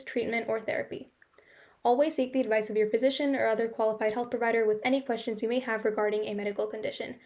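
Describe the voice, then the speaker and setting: A woman reading the podcast's opening disclaimer in a brisk, formal way. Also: fairly high pitch, a little mellow. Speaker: podcast intro host.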